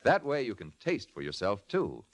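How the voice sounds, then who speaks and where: A man's voice: on the low side, wildly swooping pitch, moderate pace. A man announcing in a scripted 1940s radio style, with a polished, emphatic salesmanlike delivery. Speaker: announcer, narrator and sponsor pitchman.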